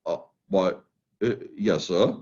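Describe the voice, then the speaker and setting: A man doing a stammering, flustered gentleman. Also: deep voice, takes it slowly, pitched below the performer's own voice. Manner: stammering, astonished. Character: flustered middle-aged charity gentleman. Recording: dull lo-fi recording.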